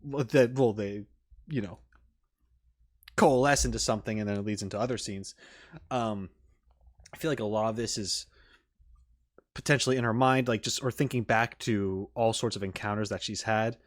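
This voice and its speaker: A man's voice: fairly low pitch, moderate pace, pauses often. A man giving casual, unscripted reaction commentary as a video host, rambling informally with emphatic enthusiasm.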